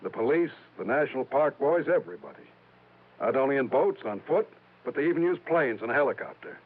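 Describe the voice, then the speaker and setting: A man's voice: mid-range voice, lively intonation, a little fast, slightly rough. An actor playing an earnest, insistent man in a 1950s radio drama. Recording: narrow phone-like old radio recording.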